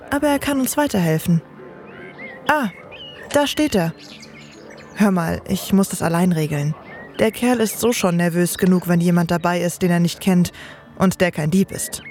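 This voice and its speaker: An actress playing a brisk, bossy young woman with ties to thieves in a fantasy audio drama. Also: on the low side, darkish tone, lightly acted. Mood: brisk, secretive. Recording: faint music and birdsong behind.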